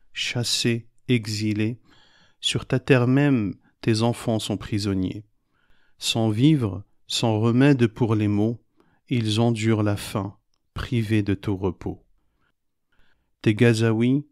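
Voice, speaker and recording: A man recites poetry at a very slow pace in a fairly low voice. His delivery is grave and measured, with pauses that set each short phrase apart. The sound is very dry, with next to no room in it. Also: no echo. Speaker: poet reciting own poem.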